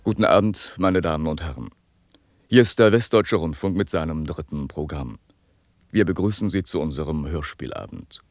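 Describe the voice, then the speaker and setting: A man as a formal, measured radio announcer presenting an evening of radio drama. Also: a bass voice, lively intonation, soft background music.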